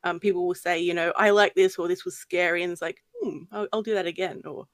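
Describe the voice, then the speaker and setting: A woman with a slight lisp and a fairly deep voice, chatting hesitantly as a podcast guest. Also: steady tone, fast talker, no echo. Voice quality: voice on the deeper end for a woman. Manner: soft-spoken, hesitant with frequent ums. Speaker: guest narrator. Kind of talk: casual podcast chat.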